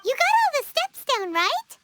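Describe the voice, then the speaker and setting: A woman voicing a small, cheerful, chatty fairy companion in a video game, with a squeaky childlike voice and bubbly exclaiming manner. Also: wildly swooping pitch, moderate pace, very noisy, full character voice. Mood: cheerful, eager.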